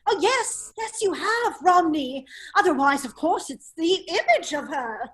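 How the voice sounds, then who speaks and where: A woman playing a witty, foppish English gentleman with a secret life, in an affected drawling manner in an amateur drama reading. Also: very high voice, sing-song, a little slow, heavily acted. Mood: foppish, teasing. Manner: affected, languid, drawling, exclaiming.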